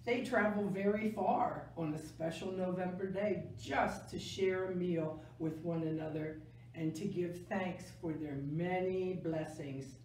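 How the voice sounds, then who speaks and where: An older woman reading a picture book aloud to children in a gentle, deliberate way, stressing key words. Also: lowish voice. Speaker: library story time reader. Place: small indoor room, seated. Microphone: camera a few metres away. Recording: echoey room, distant mic, faint noise.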